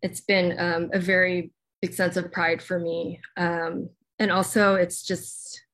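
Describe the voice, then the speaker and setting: A young American woman answering interview questions about herself, halting and full of fillers and restarts. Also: fairly low pitch, level tone, a bit bright. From United States. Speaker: guest.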